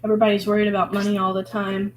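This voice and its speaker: Hoarse vlogger woman, mid-pitched, loose rambling self-talk with lots of fillers, faint noise behind. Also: completely flat delivery, racing. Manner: rambling, filler-heavy, musing. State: hoarse from illness. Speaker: vlogger painting. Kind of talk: casual reflective monologue.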